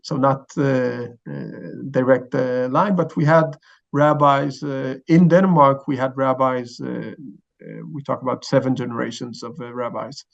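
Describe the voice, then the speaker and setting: A man's voice: medium pitch, fairly even intonation, unhurried, creaky, muffled recording. A man in his forties with an Israeli accent, explaining things as an interview guest, hesitant and often searching for words. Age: 40s. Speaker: chief rabbi, interview guest. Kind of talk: informal interview answers, explanatory.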